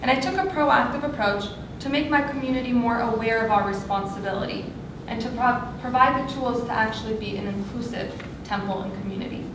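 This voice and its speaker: A woman's voice: fairly high pitch, brisk. A young woman from Los Angeles giving an earnest prepared speech as a student speaker, with small slips. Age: young adult. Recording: echoey hall, far from the mic, noisy.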